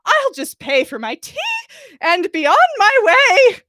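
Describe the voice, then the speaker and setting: A woman's voice: very high voice, very animated, measured pace, bright, forceful tone, dry recording, clean studio sound, pitched above the performer's own voice, exaggerated voice. A woman voicing a prim, haughty middle-aged government witch with a formal, flustered manner, reading a story. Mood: wounded, haughty.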